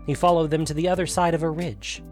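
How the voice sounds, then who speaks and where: A man's voice: fairly high pitch, fairly even intonation, fast talker, soft background music. A man reading a scripted fantasy story as a dramatic narrator.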